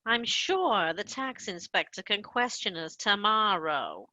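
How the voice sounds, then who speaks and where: A woman acting a brisk, soothing cruise companion in a comedy radio play. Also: mid-range voice, sing-song, pushed and bright, no room sound, exaggerated voice, rough recording.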